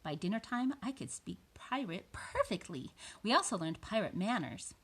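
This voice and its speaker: Expressive woman reading to preschoolers. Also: mid-range voice, moderate pace, husky. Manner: expressive, chatty asides to young listeners. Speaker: preschool teacher reading aloud. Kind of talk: children's storybook read-aloud with asides.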